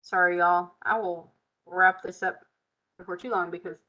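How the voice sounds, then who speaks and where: A woman with a bright, forward voice gone tired and hoarse, talking through a live software lesson at her desk mic. She mutters and hums while clicking around and keeps up an easy, chatty patter. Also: on the low side, steady tone, moderate pace. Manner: chatty, Southern "y'all", muttering while working. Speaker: software tutorial host. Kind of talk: live screen-share tutorial, thinking aloud.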